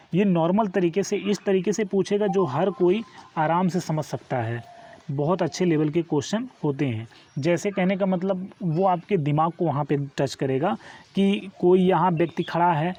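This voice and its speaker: A man's voice: sits high, dark tone. A man giving an informal online exam lesson, instructing step by step and often checking that listeners follow. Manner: instructive, repeatedly checks understanding with tag questions. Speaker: exam coaching teacher.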